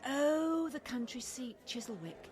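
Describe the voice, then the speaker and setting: A woman's voice: sits high, lively intonation, slow, darkish tone. A woman playing a worldly, droll best friend in a radio play, arch and teasing. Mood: dry teasing. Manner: arch, teasing, drawling darlings.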